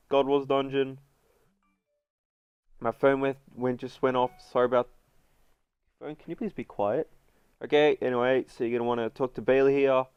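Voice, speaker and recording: A congested man with a runny nose, chatting through a casual game guide voice-over and filling pauses with ums and uhs. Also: mid-range voice, smooth, no room sound, dull audio. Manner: chatty, many fillers. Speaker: gaming video host.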